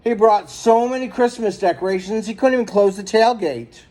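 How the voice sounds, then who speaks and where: High-voiced man reading a children's story. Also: normal speed, darkish tone, poor audio. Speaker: storyteller reading a picture book. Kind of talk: children's story read-aloud.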